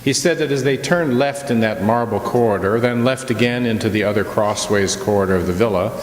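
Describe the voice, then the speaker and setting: A man's voice: lowish voice, a little fast, natural voice. Man as grave storyteller in echoing hall. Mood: grave suspense. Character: grave storyteller recounting a ghostly tale. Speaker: narrator in a radio play. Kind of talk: dramatic scripted storytelling narration. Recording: echoey hall, faint noise.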